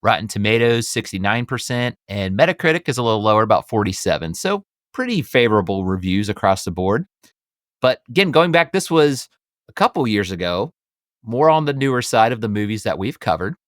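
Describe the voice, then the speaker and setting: A man's voice: fairly low pitch, animated, a little fast, dry recording, studio quality. A middle-aged man with an American accent hosting a casual podcast, chatty, upbeat and enthusiastic. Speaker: podcast host.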